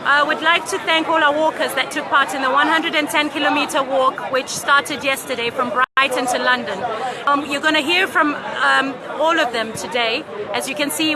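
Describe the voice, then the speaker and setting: A woman reporter with a loose, friendly manner, introducing speakers at an outdoor event. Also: high-pitched. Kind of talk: on-the-spot reporting. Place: outdoors outside an embassy. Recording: crowd noise outdoors.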